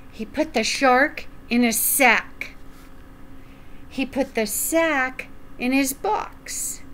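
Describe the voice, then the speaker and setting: An older woman reading a children's picture book aloud at home, enunciating deliberately and putting questions to the young listener. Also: fairly high pitch, a bit bright, a little hiss or hum. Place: small room at home, indoors.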